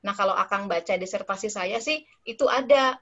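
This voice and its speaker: A woman speaking as a researcher in a relaxed, chatty online discussion, with many fillers and tag words. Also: medium pitch, brisk. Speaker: invited speaker, dissertation researcher. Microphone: online call audio. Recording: slightly dull online call audio.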